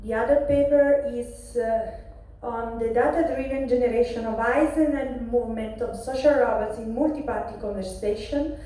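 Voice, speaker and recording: A woman with a strong Italian accent, announcing paper award finalists and winners at a conference, halting over names and apologizing for her pronunciation. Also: on the high side, warm tone. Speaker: award presenter. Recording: echoey hall, distant mic.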